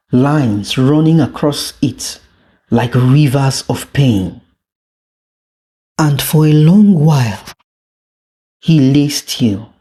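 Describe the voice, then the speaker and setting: A storyteller giving a scripted, dramatic and deliberate narration of a folktale. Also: medium pitch, a little mellow.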